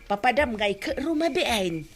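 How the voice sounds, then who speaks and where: A woman acting a meddling, sharp-tongued older village woman, preachy and exclamatory in a comedy drama. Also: on the high side, sing-song, measured pace, soft background music. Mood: nosy, chiding.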